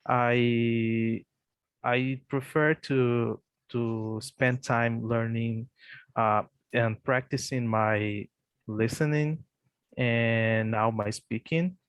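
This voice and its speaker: Slow, hesitant Brazilian man. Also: mid-range voice, no room sound. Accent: Brazilian Portuguese. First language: Portuguese. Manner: hesitant, many fillers and restarts. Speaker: English learner. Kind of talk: casual online English practice conversation.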